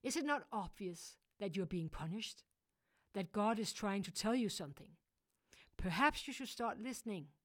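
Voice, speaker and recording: A woman voicing a stern, cold male church dean who scolds loudly. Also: sits high, lively intonation, normal speed, no room sound, studio quality, voice pushed up. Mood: condemning. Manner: harsh, scolding, loud. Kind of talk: audiobook character voice.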